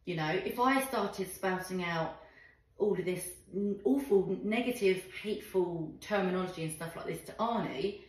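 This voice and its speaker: A woman's voice: on the low side, moderate pace. A woman hosting a podcast, chatting emphatically and reacting with sympathy. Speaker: podcast host. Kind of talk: casual chat. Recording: very echoey room.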